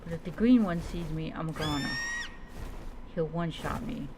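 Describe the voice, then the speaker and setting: Woman, lowish pitch, in-character gaming commentary, dramatic musing, noisy game ambience with animal sounds. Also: moderate pace, creaky, raised voice. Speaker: streamer playing a roleplay adventure game.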